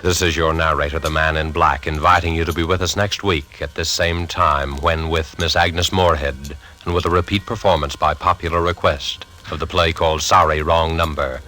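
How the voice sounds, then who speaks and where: A man narrating a 1940s radio suspense series in a solemn, measured, theatrical and formal style. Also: rumbling low, steady tone, sharp tone. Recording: old radio recording, prominent music.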